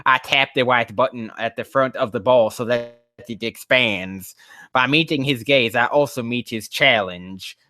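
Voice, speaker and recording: A man's voice: mid-range voice, unhurried, pushed and bright. A man on a casual livestream reading fan fiction aloud, breaking off into rambling, profane, exasperated commentary.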